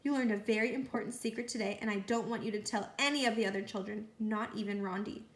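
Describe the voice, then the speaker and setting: A woman reading the part of a friendly, muddled female schoolteacher in a children's storybook, gentle and earnest. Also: medium pitch, fairly even intonation, quick pace, natural voice. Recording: roomy echo.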